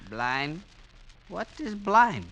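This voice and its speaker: An actor playing a stern blind valley guardian who scolds and commands in a declamatory, archaic 1940s radio manner. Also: male voice, sits high, sing-song, extremely slow, pushed and bright, exaggerated voice. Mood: stern, lecturing. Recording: vintage radio recording, faint noise.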